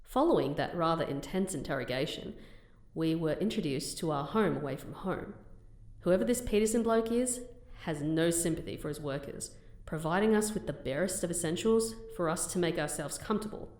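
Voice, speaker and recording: A woman playing a composed, wry undercover agent who speaks and narrates in a measured way for an audio drama. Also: low voice, lively intonation, a little mellow, roomy sound. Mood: wry, narrating.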